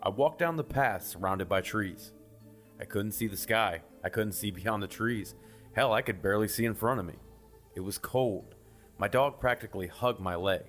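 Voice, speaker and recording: A man's voice: fairly low pitch, moderate pace, fairly bright tone. A man reading a scripted first-person creepypasta as a horror story narrator. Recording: music behind the voice.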